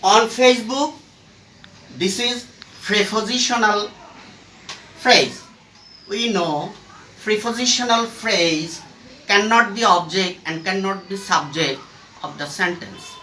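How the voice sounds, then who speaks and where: A man with a Bangladeshi accent giving a formal classroom lecture as a teacher, in a deliberate, halting phrase-by-phrase way, recorded in an echoey room. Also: high-pitched, sharp tone. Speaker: English grammar teacher. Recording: echoey room, distant mic, faint noise.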